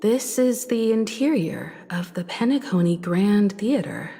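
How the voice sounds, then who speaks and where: A composed, cautious group leader in a video game. Her voice is mellow and soft, with slow phrasing that rises and falls expressively. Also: medium pitch, expressive, faint music behind. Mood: wary, composed. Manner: measured, cautious, soft-spoken. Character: composed, watchful woman leading a group of adventurers.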